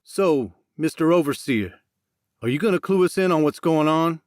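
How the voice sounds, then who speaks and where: Male narrator as angry hunter, audiobook character, raised pitch, loud, confrontational, demanding, hot-tempered, dry sound. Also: animated, normal speed, dry recording, close to an everyday voice.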